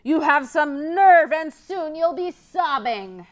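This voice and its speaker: A woman's voice: sits high, animated, slow pace, voice pushed up. A woman voicing a grumpy, menacing gigantic female troll in a children's storybook, roaring in a scratchy voice. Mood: roaring, threatening. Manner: roaring, yelling.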